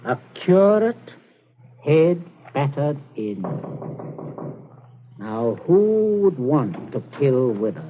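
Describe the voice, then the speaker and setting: A man's voice: a little high, sing-song, heavily acted. Calculating father on tinny old radio. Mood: tense, brooding. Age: middle-aged. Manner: stammering when flustered, deliberate. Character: outwardly genial, coldly calculating, menacing father of a family. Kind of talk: 1940s radio acting. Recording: noisy, tinny 1940s radio recording.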